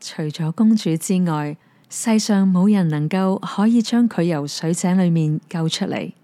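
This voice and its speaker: A woman with a Hong Kong Cantonese accent gently narrating a fairy tale. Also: on the low side, unhurried, no room sound, clean studio sound. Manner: gentle, even storytelling. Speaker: storyteller. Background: soft piano music.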